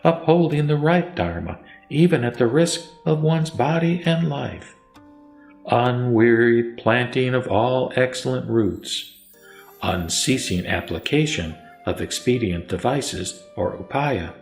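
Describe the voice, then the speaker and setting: A man narrating a Buddhist scripture as a scripted recitation, in a solemn, formal and measured manner. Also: medium pitch, expressive, darkish tone. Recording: echoey voice over faint music. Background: faint music.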